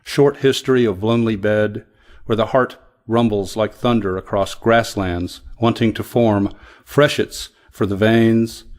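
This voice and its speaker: A man reciting a poem with solemn, deliberate phrasing. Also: lowish voice, steady tone, a little mellow. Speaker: poetry reader. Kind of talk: poetry recitation.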